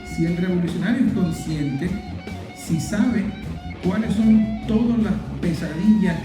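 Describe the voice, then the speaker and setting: A man's voice: high-pitched, huge pitch swings, a little slow. A man delivering a political commentary monologue in an emphatic way, piling up repeated phrases in lists. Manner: emphatic, repetitive, building lists. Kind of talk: impassioned political monologue to viewers. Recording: roomy echo, guitar music behind.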